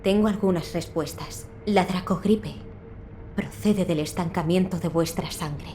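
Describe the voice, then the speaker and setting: A woman's voice: lowish voice, unhurried, mellow, slight background noise, lightly acted. A woman playing a composed, dutiful physician in a video game, formal and courteous, with a Castilian Spanish accent. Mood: focused, clinical. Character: composed, dutiful female physician in feudal Japan.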